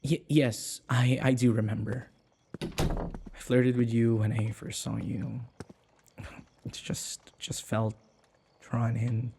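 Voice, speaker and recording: A man's voice: medium pitch, flat delivery, takes it slowly, gravelly, long pauses, warm tone, husky, heavily acted. A man playing an anxious human servant held captive by a vampire mistress in an audio roleplay, hesitant and stammering. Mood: wary, questioning. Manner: hesitant, stammering, anxious, with sighs and muttered asides. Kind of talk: audio roleplay acting, spoken to the listener.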